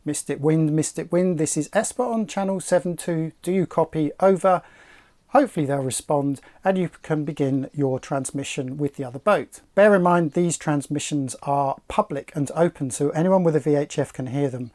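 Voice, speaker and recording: A man's voice: fairly high pitch, moderate pace, a little mellow. Articulate man giving instruction. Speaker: sailing channel presenter. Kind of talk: instructional explanation to camera.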